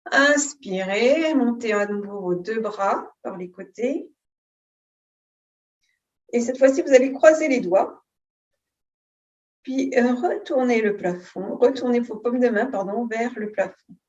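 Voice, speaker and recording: Woman, yoga instructor, higher-pitched, very slow delivery, calm guided breathing instruction. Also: highs cut off. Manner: calm, unhurried, soothing. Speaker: yoga teacher.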